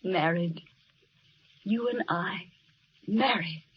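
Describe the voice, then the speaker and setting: A woman's voice: lowish voice, slow pace. A woman acting in an old radio drama as a devoted, tender young nurse in love. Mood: blissful. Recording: muffled, hissy vintage radio recording.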